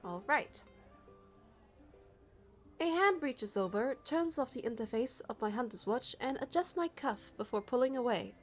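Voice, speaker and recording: Female narrator, high voice, scripted game story reading with music underneath. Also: lively intonation, moderate pace. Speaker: narrator and reader of a game playthrough. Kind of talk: scripted story narration with dialogue read aloud. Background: background music.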